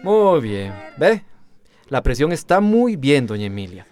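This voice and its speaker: A man playing a calm health worker in a radio drama, gentle and reassuring. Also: mid-range voice, measured pace. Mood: gentle, concerned. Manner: gentle, reassuring, soft-spoken. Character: calm, soothing male health worker at shelter.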